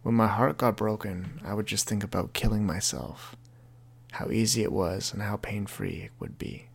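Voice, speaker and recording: Gravelly young man, earnest podcast talk. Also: on the low side, moderate pace. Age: 20s. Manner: earnest, confessional, heavy language. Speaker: podcast host. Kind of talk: reflective monologue reading from his book.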